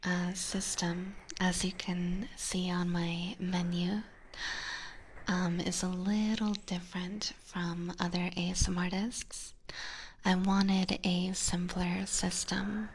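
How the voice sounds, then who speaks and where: A woman's voice: lowish voice, little pitch movement, slow pace, a little hiss or hum. A woman whispering breathily and informally to viewers on an ASMR livestream, close to a binaural microphone. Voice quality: breathy, mostly unvoiced whisper. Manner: informal, chatty, addressing viewers directly. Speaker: ASMR live streamer.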